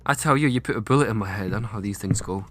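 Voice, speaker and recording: A man's voice: on the low side, rapid-fire, a bit bright, lightly acted. A man playing a defiant male prisoner in an audio drama, speaking with spitting hostility. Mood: defiant anger. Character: defiant male captive facing torture in zombie apocalypse.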